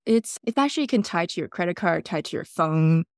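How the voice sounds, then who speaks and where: A woman from Taiwan, a podcast guest telling relaxed stories in loosely phrased English. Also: on the low side, brisk, dry recording, clean studio sound. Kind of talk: casual interview storytelling.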